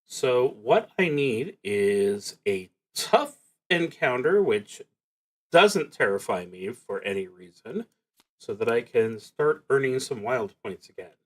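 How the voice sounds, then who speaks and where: Male solo roleplay streamer, mid-range pitch, unhurried delivery with halting pauses, reasoning through the game out loud. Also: very animated. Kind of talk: thinking aloud while playing, reading out game prompts.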